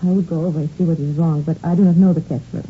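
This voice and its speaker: A concerned French household cook acted by a woman in an old radio play. Her low voice has a French accent and a careful, formal politeness. The recording is muffled, with faint noise behind her. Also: fairly even intonation, very fast, natural voice. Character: earnest, concerned foreign-born female household cook. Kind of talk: 1950s radio drama acting.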